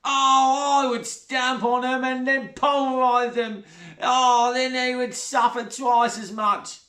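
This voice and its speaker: Man playing a shrill, brash stepmother. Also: little pitch movement, bright, forceful tone, far from the mic, exaggerated voice. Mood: gushing. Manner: shrill, brash, drawn-out oohs. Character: loud coarse spiteful middle-aged stepmother. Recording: roomy echo.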